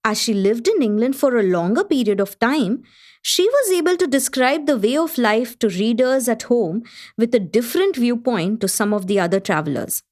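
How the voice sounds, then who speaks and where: A woman portrays a learned, self-educated Indian host of a literary gathering in a radio drama. She speaks in a fairly high voice, introducing and explaining with formal poise. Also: expressive, normal speed, a little mellow, natural voice. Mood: poised, informative. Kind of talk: scripted narration in a radio play.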